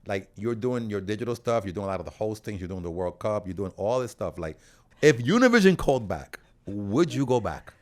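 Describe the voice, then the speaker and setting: A man's voice: lowish voice, very animated, a little fast. A man hosting a casual conversational interview, talking in a rambling, informal way with occasional asides. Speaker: podcast host interviewing guests.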